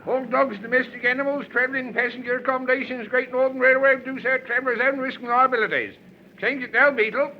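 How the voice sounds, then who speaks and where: A man playing a brisk railway ticket conductor who barks out calls and rules in a clipped way. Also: very high-pitched, fairly even intonation, pitched above the performer's own voice. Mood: officious. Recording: dull lo-fi recording with faint noise.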